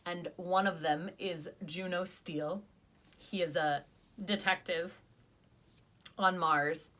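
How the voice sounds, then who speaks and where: A woman's voice: on the low side, steady tone, slow pace, a bit bright. A young woman co-hosting a casual podcast review, chatty and enthusiastic, arguing playfully with her friend. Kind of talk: casual chat.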